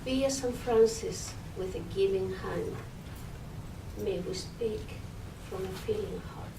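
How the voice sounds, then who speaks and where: A woman reads a prayerful poem very slowly and solemnly, letting phrases swell and fade. She is heard at a distance in a roomy space with faint noise behind. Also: mid-range voice. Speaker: poet reading own poem. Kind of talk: prayerful poetry recitation. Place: public library reading room.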